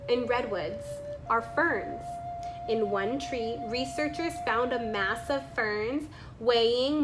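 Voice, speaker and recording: A woman with a slightly high voice narrates a nonfiction nature book. She reads very slowly and deliberately, phrase by phrase, as a read-aloud. Music plays clearly behind her. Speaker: reader reading a children's nonfiction book aloud.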